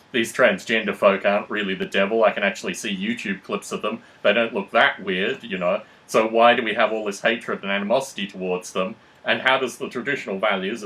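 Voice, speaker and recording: A man hosting a conversational discussion, speaking in a measured, analytical and wordy way. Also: lowish voice, bright, forceful tone, low-quality recording. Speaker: podcast host. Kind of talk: conversational discussion, framing questions.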